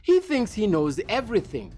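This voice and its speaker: A woman's voice: medium pitch, wildly swooping pitch, moderate pace, warm tone, a little hiss or hum, pitched above the performer's own voice. A woman voicing a headstrong, easily upset young girl in a children's storybook, with a defiant manner. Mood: angry, upset.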